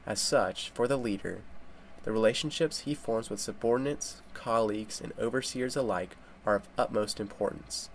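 Low-voiced male narrator with background noise. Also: normal speed, creaky. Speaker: video narrator. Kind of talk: scripted narration. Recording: noisy voice-over with background ambience.

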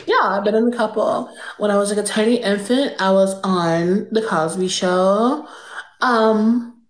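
A woman's voice: mid-range voice, measured pace, close to an everyday voice. Casting: a young woman as a spoiled, shameless rich girl, airy drawl, roomy phone audio. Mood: smug, airy. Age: young adult. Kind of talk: improvised comedic character on a livestream. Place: indoor room with pink lights. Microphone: phone front camera.

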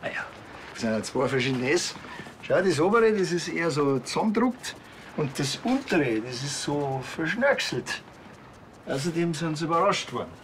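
An actor playing a sardonic small-town police officer with a Bavarian accent and a dry, deadpan manner in a TV crime comedy. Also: male voice, on the high side, moderate pace, slight background noise.

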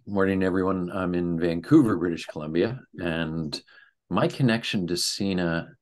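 A man's voice: deep voice, moderate pace. A man in a small attic room introducing himself on a video call, telling how he came to the cause in a friendly, storytelling way. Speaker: family supporter and connector. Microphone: webcam on video call.

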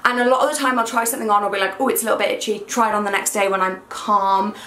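A young woman with a croaky, hungover voice, chatting excitedly in a casual try-on haul vlog. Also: mid-range voice, very fast. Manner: excitable and gushing. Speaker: fashion vlogger. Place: small bedroom, indoors. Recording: echoey room.

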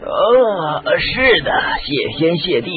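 A man's voice: high voice, very animated, takes it slowly, phone-quality sound, exaggerated voice. A man acting in a radio drama as a polite, fussy event staffer who speaks hesitantly. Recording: band-limited audio, faint music.

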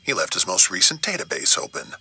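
Low, forceful investigating superhero. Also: male voice, wildly swooping pitch, fast talker, soft background music, heavily acted. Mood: puzzled. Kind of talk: video game character acting.

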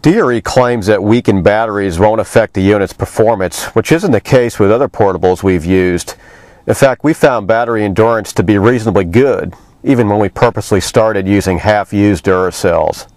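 A man's voice: lowish voice, normal speed, a little mellow, no room sound. A man, reading a scripted product review as a magazine reviewer.

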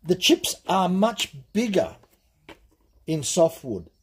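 A man explaining things step by step in a relaxed, plain-spoken way during a workshop demonstration. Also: high voice, takes it slowly, husky. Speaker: chainsaw channel host. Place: workshop bench.

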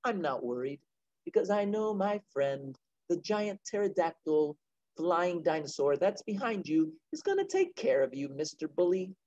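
A man's voice: sits high, very animated, normal speed, darkish tone, no echo, dull audio. A man voicing a small, confident storybook boy who talks in a cocky, unworried way.

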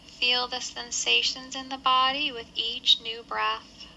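A woman guides a body scan meditation in a slightly high, very bright voice. Her phrasing is measured and gentle, giving simple instructions with pauses between them. There is faint noise in the background of the recording. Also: level tone, creaky, poor audio.

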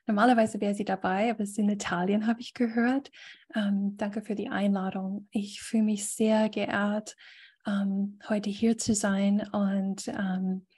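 A woman coach giving a relaxed talk to a group on a video call, pausing often with fillers. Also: mid-range voice, slightly rough. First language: German. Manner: thoughtful, many fillers, reads a definition aloud. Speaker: guest speaker and coach.

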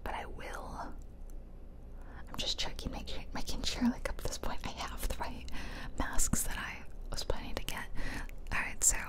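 A woman giving playful, chatty ASMR gameplay commentary in a whisper, close to the microphone. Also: normal speed. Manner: playful, chatty, self-amused. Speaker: game streamer and ASMR host. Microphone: close ear-to-ear microphone.

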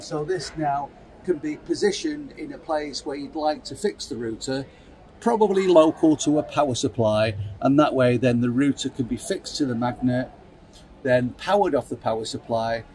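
A man in his fifties presenting and demonstrating a product kit at a trade show stand, filmed on a close phone camera. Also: darkish tone. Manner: upbeat sales pitch, handling kit while explaining. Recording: trade show floor with clear background noise.

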